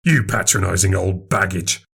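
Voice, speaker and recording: Guy doing a nasty snarling kid for an audiobook, gravelly grumbly voice, full of spite. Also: deep voice, fairly even intonation, moderate pace, fairly bright tone, exaggerated voice. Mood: contemptuous. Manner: snarling, contemptuous. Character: sullen, snarling boy with a possessed, spiteful streak. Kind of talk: audiobook character voice.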